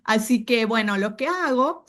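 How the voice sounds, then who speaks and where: A woman's voice: a little high, lively intonation, normal speed, fairly bright tone. Middle-aged woman with Cordobese Argentine accent. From Córdoba, Argentina. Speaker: podcast guest, AI and branding entrepreneur. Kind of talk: casual interview chat.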